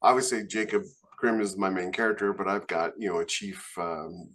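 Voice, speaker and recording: A man speaking casually as a podcast co-host and author, telling things in a halting, animated way. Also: deep voice. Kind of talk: casual panel chat.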